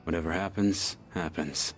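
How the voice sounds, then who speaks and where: A man voicing a gruff, aloof young tough guy with a soft spot in a video game, curt and muttering. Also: deep voice, fairly even intonation, normal speed, natural voice. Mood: gruff, caring. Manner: curt, muttering, laid-back. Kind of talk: English video game voice acting. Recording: background music under the voice.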